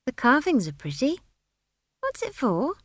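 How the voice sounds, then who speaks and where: Woman putting on an earnest, high-pitched little girl. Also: wildly swooping pitch, moderate pace, no echo, clean studio sound, exaggerated voice. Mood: uncertain. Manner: meek but persistent, inquisitive. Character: earnest, stubborn, questioning young girl. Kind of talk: audiobook character voice.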